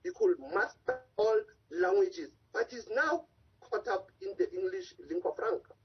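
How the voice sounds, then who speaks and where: A man's voice: high voice, expressive, a little slow, husky. A man, an Africa analyst and advocate, making impassioned, long-winded points as a guest in a remote news interview over a video call. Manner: impassioned, argumentative, speaks in long run-on points. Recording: dull lo-fi call audio.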